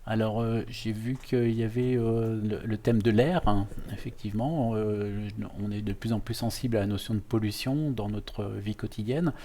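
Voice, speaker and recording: A man with a mellow, soft-edged voice hosts an educational interview. He speaks conversationally at a middle pitch, often restarting and feeling his way through long, loosely built sentences. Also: moderate pace, few pauses. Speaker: host, university professor interviewing a guest.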